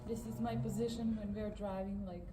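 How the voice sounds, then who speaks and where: A woman's voice: mid-range voice, fairly even intonation, normal speed, distant mic. A woman from northern Serbia with a Serbian accent, chatting casually as a passenger co-presenter. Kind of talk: casual chat. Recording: roomy echo, clearly noisy background.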